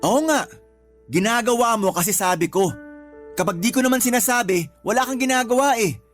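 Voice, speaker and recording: Hurt Filipino live-in partner, high-pitched, earnest, reproachful, worn out, radio romance drama, faint music. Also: male voice, fairly quick, fairly bright tone. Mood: hurt, exasperated.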